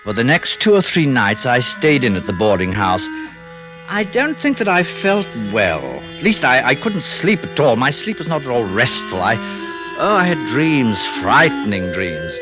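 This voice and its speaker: An actor playing a nervous, spiteful doctor who poisons in secret, in a hesitant, mannered vintage radio suspense style. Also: male voice, mid-range voice, very animated, normal speed, lightly acted, rough recording. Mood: uneasy narration. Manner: hesitant, mannered, theatrical, muttering and gloating. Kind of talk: old-time radio suspense acting and first-person narration. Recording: vintage radio drama recording, music behind.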